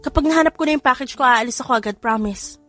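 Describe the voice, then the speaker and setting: A woman voicing a flustered, apologetic young bride in an audio drama, startled and hurried. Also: high-pitched, a bit bright, faint music behind, pitched above the performer's own voice.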